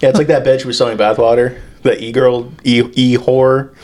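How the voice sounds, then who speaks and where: A man hosting an irreverent comedy podcast, talking at an ordinary middle pitch. He swears constantly, riffs on absurd bits and keeps breaking into laughter. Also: level tone, fairly quick, a little mellow. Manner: profane, joking, often laughing. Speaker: podcast host. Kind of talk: crude comedic podcast banter.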